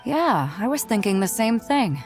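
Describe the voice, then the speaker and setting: A woman's voice: on the high side, very animated, moderate pace, faint music behind. A woman voicing an easygoing, curious young woman in a video game, casual and good-humoured.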